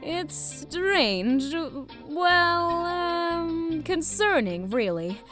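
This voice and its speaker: A woman playing an eccentric, curious lab scientist in an audio drama, musing and rambling in an excitable way. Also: extremely high pitch, extremely slow, music in the background, full character voice. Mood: intrigued, musing. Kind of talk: scripted audio drama acting.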